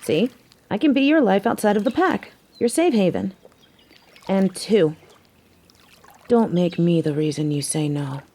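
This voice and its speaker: A woman's voice: medium pitch, expressive, a little slow, long pauses, dark tone. A woman acting in an audio drama as a biker girl, a devoted human mate with a teasing, sarcastic way of talking. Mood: earnest, tender. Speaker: voice actor in an audio roleplay.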